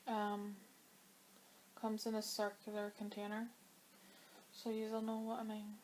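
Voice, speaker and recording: A woman's voice: mid-range voice, monotone, measured pace, darkish tone, a little hiss or hum, low-quality recording. A woman vlogging about her knitting in a chatty, rambling way, her throat dry as she comes down with a cold. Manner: chatty and rambling, with many fillers. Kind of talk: casual chat to camera.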